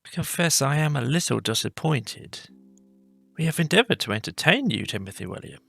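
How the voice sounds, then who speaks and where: A man's voice: medium pitch, big pitch swings, normal speed, gravelly, a bit bright, soft background music, pitched above the performer's own voice, full character voice. A man voicing a refined, huffy town mouse who talks in a formal, haughty, fussy way for a storybook. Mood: disappointed, formal. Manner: haughty, formal, easily put out.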